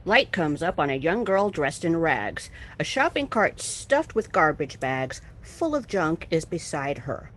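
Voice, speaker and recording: A woman's voice: low voice, sing-song, unhurried, hardly any pauses, full character voice. A woman reading a scene in a staged play reading, with emphatic theatrical delivery. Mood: declarative.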